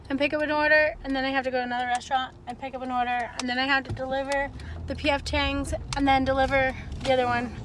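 A woman's voice: sits high, a bit bright, slight background noise. A woman in her thirties chatting to viewers about her delivery shifts, filmed on a phone held at arm's length in her car and then inside a restaurant. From USA. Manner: chatty, talks to viewers while driving and walking. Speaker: food delivery driver vlogger. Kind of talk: casual vlog chat.

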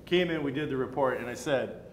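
A middle-aged man in casual panel chat about pop culture, speaking as an opinionated, excitable fan. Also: fairly quick, a crowd in the background. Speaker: geek talk show panelist. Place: indoor room, panel around a table. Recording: roomy echo, faint rumbling noise.

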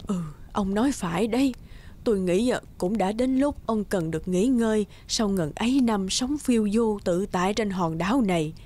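Lady doing a gentle old village wife, husky and mellow, caring, cartoon fairy tale. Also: mid-range voice, normal speed, natural voice. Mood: gentle concern. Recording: faint music under the voice.

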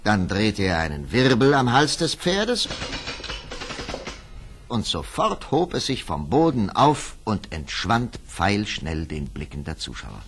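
A man narrating a children's fairy tale from a script in a dramatic, measured storytelling manner. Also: fairly low pitch, sing-song.

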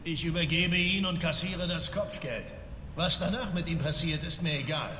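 Cynical merc veteran in a game, blunt, highish voice, very echoey. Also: male voice, moderate pace, sharp tone. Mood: callous. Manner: blunt, callous. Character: hard, cynical veteran bounty hunter and mercenary. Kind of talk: video game dialogue. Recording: echoey hall with faint noise.

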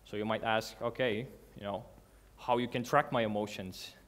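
A man's voice: lowish voice, steady tone, a bit bright. Man giving an earnest talk on stage. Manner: earnest, halting, with restarts and non-native grammar. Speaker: conference speaker. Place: large dark hall with a seated audience.

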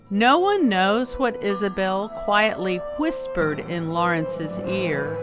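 A woman reading a rhyming children's picture book aloud in an expressive, deliberate storytelling manner. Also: on the low side. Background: music behind the voice.

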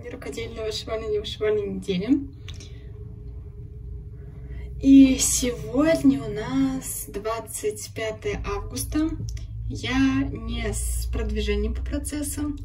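A slightly hoarse woman chatting casually about her needlework at home, sometimes dropping to a whisper. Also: on the high side. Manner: chatty, drops into whispers, laughs softly. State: a bit hoarse. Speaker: cross-stitch vlogger. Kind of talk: casual chat showing needlework. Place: home, at a table. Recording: echoey room, mic at a distance, noisy background.

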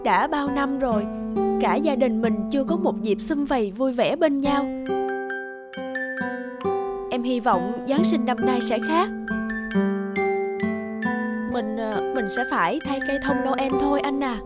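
An actress playing a gentle, hesitant young wife and mother in a cartoon drama, soft-spoken and pleading. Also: sits high, unhurried, pauses often, loud music behind the voice. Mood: worried, pleading.

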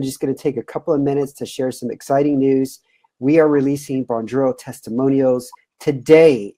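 A man's voice: medium pitch, dark tone. A man in his thirties hosting a webinar over a video call from a room at home, upbeat and loose, with many fillers. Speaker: webinar host.

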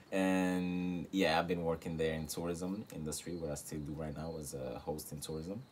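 A young man from Morocco with an extremely low voice chats in a relaxed way, full of fillers and quiet laughs. He is recorded close on a phone in a small room, with a faint hiss behind him. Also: normal speed. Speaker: guest rapper. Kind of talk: casual interview. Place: small room with reed-panel wall.

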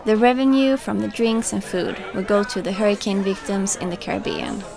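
A woman reading a calm scripted voice-over narration. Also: mid-range voice, a little fast, vocal fry, crowd noise. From Sweden.

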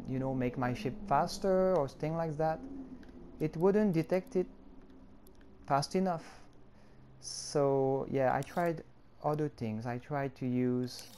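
Slow French-accented man explaining a tutorial. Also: mid-range voice, mellow, smooth. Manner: unhurried, explaining step by step with fillers. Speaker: tutorial creator. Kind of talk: screen-recorded game-editor tutorial.

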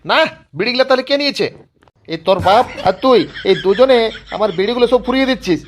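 A man's voice: very high-pitched, quick pace, a little hiss or hum. A man voicing an angry, foul-mouthed old grandfather in a comedy cartoon, scolding and repeating himself. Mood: accusing. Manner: scolding, repetitive, ranting.

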